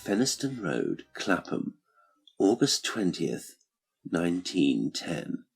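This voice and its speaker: Male audiobook narrator, low voice, measured dramatic horror storytelling, deliberate pauses, music underneath. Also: very animated, creaky, husky. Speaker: audiobook narrator and podcast host. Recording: music behind the voice.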